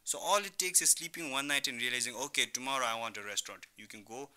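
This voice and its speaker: A young man from Thailand talks as the guest in a radio interview, with a very bright, forward voice. He explains his business conversationally, reaching for examples and often adding "you know". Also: medium pitch, fast talker. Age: young adult. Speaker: entrepreneur guest, online business founder. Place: radio studio.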